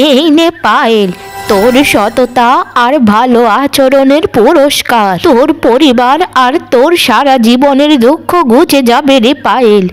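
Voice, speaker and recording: A solemn old enchantress of the forest acted by a woman, with a high voice. She is a cartoon granny who is kindly to the good and stern to wrongdoers, and she speaks like one handing down spells.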